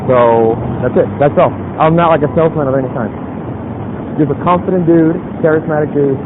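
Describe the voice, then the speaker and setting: Through a thin, phone-like recording with loud noise comes a young man with a fairly high voice. He talks casually and hesitantly, padding his small talk with fillers as he tries to keep a stranger chatting. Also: brisk. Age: young adult. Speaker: pickup vlogger chatting up a stranger. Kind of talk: casual street conversation. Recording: muffled phone-like audio, loud street noise.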